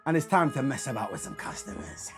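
A man's voice: medium pitch, animated, quick pace. A man, improvising cheeky, mock-polite prank banter as a fake server. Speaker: prank show presenter posing as drive-thru worker. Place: inside a parked panel van. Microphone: stand microphone with headset. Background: music.

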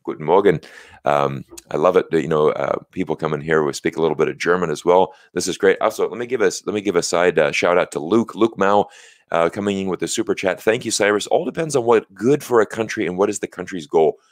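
A man's voice: sits low, fast, dry recording, clean studio sound. An American man in his thirties hosting a casual live stream, talking in an earnest, explanatory way with plenty of "you know" fillers. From United States. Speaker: livestream host. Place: small home studio, indoors. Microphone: large studio mic on a stand close in front.

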